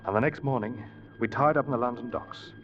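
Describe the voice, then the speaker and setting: A man's voice: medium pitch, a little fast, natural voice. An actor playing a grave, loyal ship's officer telling his story dramatically in an old-time radio drama. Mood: somber. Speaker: radio actor, first-person narrator. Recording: muffled vintage radio recording.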